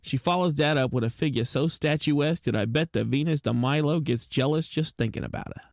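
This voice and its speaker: A man performs a penguin private detective from a noir radio play. The voice sits fairly high for a male, with slangy, streetwise delivery. He is wisecracking, world-weary and easily lovestruck. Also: a little fast, creaky, no room sound, studio quality. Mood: smitten. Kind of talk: hard-boiled noir radio drama narration.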